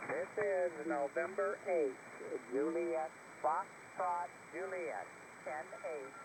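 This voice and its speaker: A man working a contest over shortwave radio, deliberately repeating and spelling things out in procedural exchanges. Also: a little high. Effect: heard over shortwave radio. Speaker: amateur radio contest operator. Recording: very noisy shortwave radio reception.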